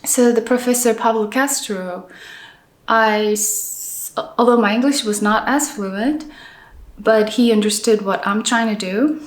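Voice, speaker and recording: A middle-aged Korean woman with a Korean accent, an architect talking about her life in an interview. She speaks in a halting, thoughtful way with many restarts and soft fillers. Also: a little high, reverberant. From South Korea. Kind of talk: reflective interview conversation.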